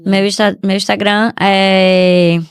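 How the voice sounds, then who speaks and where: A woman's voice: mid-range voice, little pitch movement, slow pace, clean tone. A Brazilian woman, a doctor appearing as a podcast guest, explaining things casually and often restarting her phrases.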